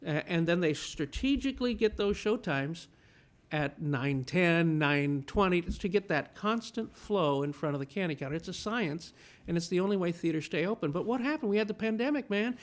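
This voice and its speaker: A man in his fifties, born in Chile, talks with an eager, storytelling enthusiasm. His voice sits a touch high, and he often repeats a word or two as he gets going. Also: lively intonation, moderate pace. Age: 50s. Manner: enthusiastic storyteller, repeats words when starting. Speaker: film critic, guest. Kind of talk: casual interview conversation.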